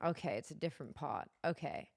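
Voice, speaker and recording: A tired young woman streaming, chatting casually with her chat, her voice going hoarse. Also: sits low, expressive, brisk, a little mellow. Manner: rambling, chatty, many fillers.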